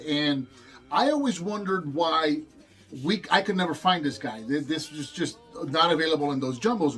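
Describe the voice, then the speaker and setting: A man's voice: fairly high pitch, fairly bright tone. A man in his forties from Puerto Rico, hosting a toy review in an enthusiastic, rambling way. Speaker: toy review channel host.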